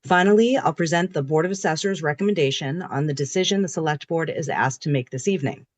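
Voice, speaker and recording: A woman who is hoarse from a cold, speaking on a video call as a town assessor and walking through a formal presentation in a methodical, explanatory way. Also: deep voice, quick pace, no room sound. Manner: methodical, explanatory, reading from slides. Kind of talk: formal public meeting presentation.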